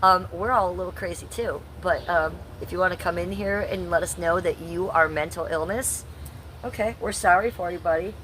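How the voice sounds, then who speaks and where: A woman's voice: on the low side, moderate pace, a bit bright, slight background noise, rough recording. Blunt, foul-mouthed woman on a livestream. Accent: Ohio. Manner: blunt, profane, sarcastic. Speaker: livestream host. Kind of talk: casual livestream chat.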